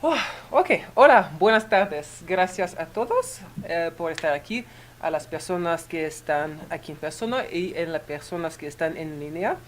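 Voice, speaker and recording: A native French-speaking woman with a French accent, lecturing carefully and haltingly in a language not her own. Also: fairly low pitch, lively intonation. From Canada. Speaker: guest lecturer, psychology professor. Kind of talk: academic presentation. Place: university auditorium. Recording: noisy auditorium recording.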